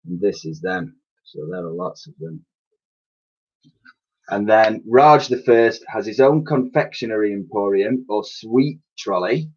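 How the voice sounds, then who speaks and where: A man in his thirties reading a children's storybook aloud in an expressive, explanatory way, like a teacher to pupils. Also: fairly low pitch, measured pace, husky. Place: small attic room with sloped ceiling, indoors. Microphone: webcam or laptop mic at arm's length. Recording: dull, lo-fi webcam sound.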